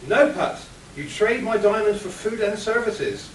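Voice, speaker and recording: Exasperated scheming mastermind lady played by a man, commanding, high pitch, hissy distant radio play recording. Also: expressive, unhurried. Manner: commanding, curt. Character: scheming female villain, exasperated with a foolish henchman. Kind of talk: comic radio play acting. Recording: echoey, far off, hissy.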